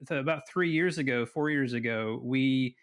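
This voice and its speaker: A man's voice: mid-range voice, moderate pace, smooth. A man in his forties, a podcast guest telling his story in a chatty, self-deprecating way. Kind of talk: casual podcast interview.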